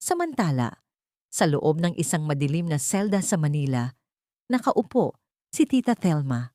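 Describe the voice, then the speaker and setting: A woman narrating a scripted dramatic audio story in measured, sentimental phrasing. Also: sits low, big pitch swings, mellow, no room sound, studio quality.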